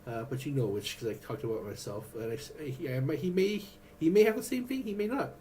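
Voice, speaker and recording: A man vlogging in a rambling, halting way, with many restarts and fillers. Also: mid-range voice, lively intonation, dark tone, a little hiss or hum. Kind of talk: rambling personal vlog.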